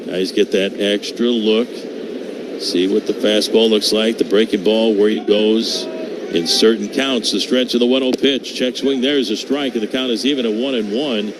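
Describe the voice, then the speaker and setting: A man calling live baseball play-by-play for radio, lifting his voice on the action and settling back between pitches. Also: fairly even intonation, normal speed. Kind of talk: live radio sports commentary. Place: open-air ballpark broadcast booth. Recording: clear outdoor ballpark noise behind.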